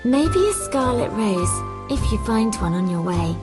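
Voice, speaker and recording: A woman voicing a kind, gentle, helpful young woman in a children's fairy tale, speaking in a sweet, earnest, polite way. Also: mid-range voice, animated, measured pace, over music, lightly acted. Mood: shy, gentle.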